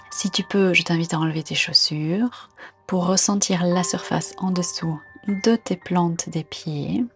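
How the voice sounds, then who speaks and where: A woman guiding a body scan meditation in a calm, gentle, unhurried manner, giving soft instructions with pauses. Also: on the low side. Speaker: meditation guide.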